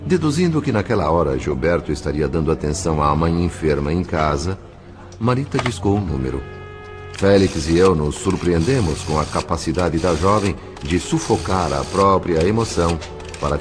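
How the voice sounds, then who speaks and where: A deep-voiced Brazilian man narrates an audio drama over clearly audible music. His scripted delivery is formal and measured, with dramatic phrasing. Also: expressive.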